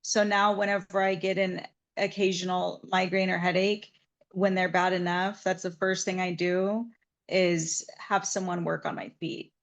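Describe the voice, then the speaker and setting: A woman's voice: lowish voice, level tone, a little slow, creaky. A woman from Southern Nevada, a massage therapist, telling her story in an interview in an earnest, reflective way.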